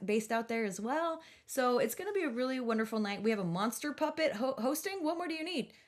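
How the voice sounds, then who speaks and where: A woman hosting a podcast, chatting casually in an informal, rambling, enthusiastic way. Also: mid-range voice. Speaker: podcast host. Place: podcast recording.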